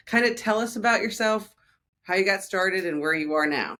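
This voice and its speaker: A woman's voice: medium pitch, lively intonation, moderate pace, pushed and bright. Woman host, friendly interviewer, mid-range voice. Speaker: podcast host. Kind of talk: podcast interview.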